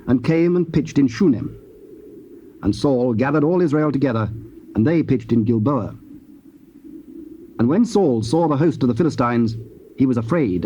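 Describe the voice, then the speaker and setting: Male narrator: formal, solemn scripture reading, soft warm tone, slightly noisy cassette sound. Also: mid-range voice. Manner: measured, formal. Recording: dull, faintly noisy old cassette.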